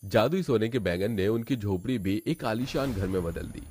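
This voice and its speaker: A man's voice: on the low side, expressive, normal speed, a little mellow, breathy edge, no room sound, faint music behind. Dry-sounding man narrating a story. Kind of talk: scripted story narration for cartoon.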